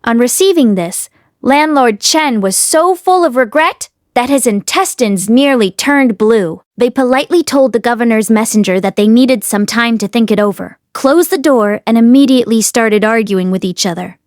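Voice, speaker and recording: A woman narrator reading a story aloud in an expressive storytelling style. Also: a little high, moderate pace, dry recording, clean studio sound. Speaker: audiobook narrator.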